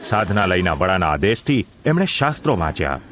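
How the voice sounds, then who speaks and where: A man's voice: sits low, big pitch swings, fairly quick, fairly bright tone, a little hiss or hum. A man narrating a scripted audio drama in a formal, literary storytelling manner. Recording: music under the voice.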